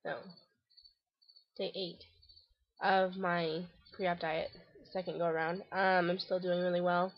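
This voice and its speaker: A woman's voice: lowish voice, little pitch movement, slow pace, long pauses, no echo, highs cut off. Stuffy-nosed woman vlogging from her back deck. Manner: chatty and rambling, frequent ums and restarts. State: congested, stuffy nose. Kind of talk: casual video diary update. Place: covered back deck outdoors.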